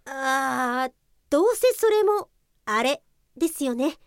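Casting: actress as a young female tech inspector, high and lively voice, courteous wording with a cutting edge, comic drama. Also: slow, no room sound, studio quality, heavily acted. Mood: matter-of-fact. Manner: crisply polite, sarcastic, explanatory. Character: polite but cutting young female office-software investigator. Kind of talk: comic audio drama acting.

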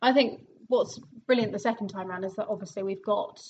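A woman of thirty hosting a theatre podcast in a rehearsal room, chatty and quick to laugh. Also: mid-range voice, darkish tone, dull audio, slight background noise. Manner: chatty and giggly. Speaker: podcast host and theatre company director. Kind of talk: casual group interview.

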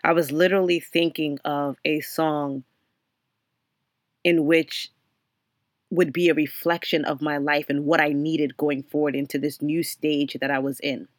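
Slightly hoarse woman podcast host. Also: low voice, flat delivery, a little slow, dry recording, clean studio sound. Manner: many ums and restarts, faith-centered, earnest. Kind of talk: reflective solo podcast monologue.

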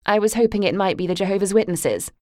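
A woman's voice: a little high, animated, normal speed, a little mellow, dry recording, studio quality, pitched above the performer's own voice, close to an everyday voice. A woman putting on a sugary, sneering mother. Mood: sweetly mocking. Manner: sugary and cutting. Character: sneering, sweetly malicious middle-aged mother.

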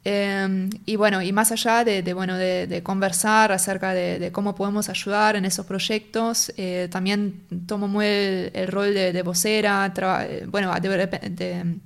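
Young woman talking in an interview, in her spanish, explains things slowly, keeps hunting for the right word. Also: medium pitch, flat delivery, creaky. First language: German. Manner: explanatory, searches for words as she goes. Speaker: marketing and sales lead, interview guest. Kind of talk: casual interview conversation.

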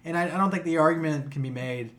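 A man's voice: fairly high pitch, fast. A man co-hosting a podcast, speaking casually in an exasperated, emphatic way with heavy swearing. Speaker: podcast co-host. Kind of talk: casual, profane rant and banter.

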